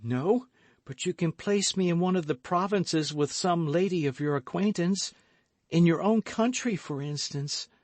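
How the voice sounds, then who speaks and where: A man's voice: on the high side, unhurried, darkish tone, no room sound, voice pushed up, natural voice. A man voicing a frightened, lovestruck young maidservant in an audiobook, with a pleading, devoted manner. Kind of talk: audiobook character acting.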